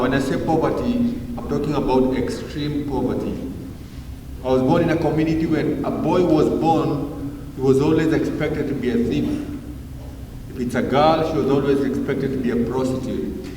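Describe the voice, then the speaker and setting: A man's voice: medium pitch, a little mellow, heavy reverb, in a large hall, recorded from a distance, slight background noise, low-quality recording. A man with a Kenyan accent telling stories from his life to a live audience in a casual, engaging way. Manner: casual, engaging the crowd with questions. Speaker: guest speaker. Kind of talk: storytelling talk to a live audience.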